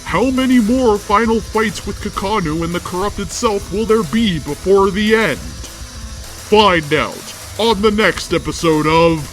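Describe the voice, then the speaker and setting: A woman's voice: mid-range voice, expressive, measured pace, soft background music, voice pushed up, natural voice, poor audio. A woman playing a bombastic next-episode announcer for an anime-style story, theatrical and emphatic. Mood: mock-suspenseful.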